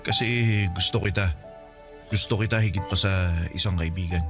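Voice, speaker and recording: An actor with a Filipino accent playing a devoted young suitor who pleads earnestly in a Tagalog radio romance drama. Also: male voice, low-pitched, normal speed, music in the background, close to an everyday voice.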